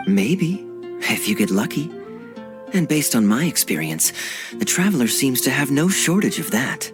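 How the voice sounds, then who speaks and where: A man's voice: medium pitch, sing-song, normal speed, music in the background, natural voice. Man playing a suave, charming knight captain. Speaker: cavalry captain. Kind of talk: video game cutscene acting.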